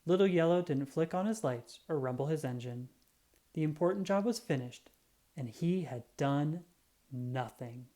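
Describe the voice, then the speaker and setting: A woman reading a children's book aloud in an animated, expressive storytelling style. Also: low voice. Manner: expressive, drawn-out emphasis on sound words.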